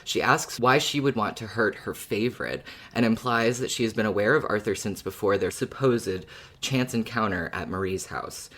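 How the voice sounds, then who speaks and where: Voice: mid-range voice, moderate pace, a bit bright. Chatty podcast host in casual discussion. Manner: chatty, laughs often. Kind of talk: casual fan discussion with recap.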